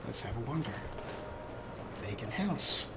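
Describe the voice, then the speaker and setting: A man's voice: mid-range voice, animated, measured pace, very noisy. A man hosting a let's play, giving casual game commentary with wry, dry humor. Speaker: gaming video host.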